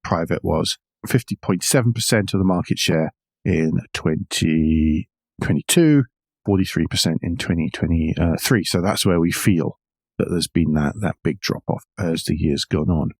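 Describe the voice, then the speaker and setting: Low-voiced man hosting, dry close sound. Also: normal speed, darkish tone, slightly rough, no room sound. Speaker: podcast host. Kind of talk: news discussion with commentary.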